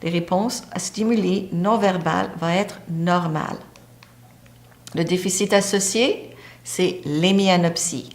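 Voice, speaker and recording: A woman's voice: lowish voice, slow pace. A woman lecturing on a medical topic, explaining step by step and pointing to a diagram. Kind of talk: teaching lecture.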